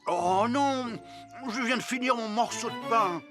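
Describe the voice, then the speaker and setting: A man's voice: very high voice, a little slow, music in the background. A man voicing a glum, plaintive townsman in a children's cartoon. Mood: disappointed. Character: glum, hungry grown townsman.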